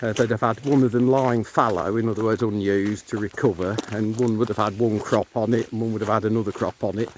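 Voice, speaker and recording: A man's voice: steady tone, fairly quick, few pauses. Middle-aged English man, noisy handheld recording. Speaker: walking vlog host and local guide. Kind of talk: casual rambling commentary. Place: moving car, later open farmland track. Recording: noisy handheld recording, car and outdoor noise. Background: car rumble, outdoor ambience.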